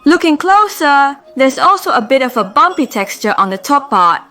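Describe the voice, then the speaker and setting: Slightly hoarse woman reviewing products calmly. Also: high-pitched, normal speed, faint music behind. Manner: calm, methodical explaining. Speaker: home organizing vlogger.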